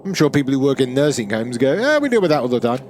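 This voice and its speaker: A man's voice: mid-range voice, sing-song, racing, a little hiss or hum, lightly acted. A man voicing a gleefully sadistic, mock-cheerful mascot villain in a video game. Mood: mock-cheerful gloating.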